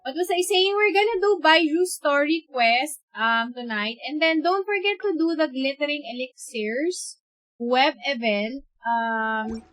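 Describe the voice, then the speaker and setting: High, bright-voiced Filipina game streamer. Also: female voice, soft background music. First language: Tagalog. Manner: hesitant, thinking aloud with searching pauses. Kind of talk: casual livestream chat while gaming.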